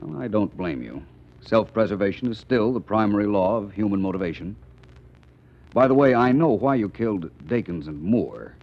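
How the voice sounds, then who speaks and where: A muffled vintage radio recording of a suave detective. His low voice moves expressively through formal, wry phrasing. He stays urbane and unflappable in classic 1940s mystery style. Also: lively intonation, normal speed. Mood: wry, unruffled.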